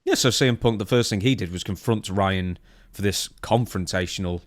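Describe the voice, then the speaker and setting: Low-pitched man co-hosting a podcast. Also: a little fast, no echo, clean studio sound. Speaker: podcast co-host. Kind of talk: reading out a wrestling news timeline and discussing it.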